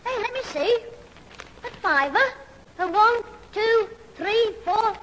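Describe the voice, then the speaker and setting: A very high, bright boy's voice, cheeky and quick-witted. He delivers lines in 1970s radio sitcom style on an old, hissy hall recording. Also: lively intonation, muffled recording, heavily acted. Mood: cheeky, teasing.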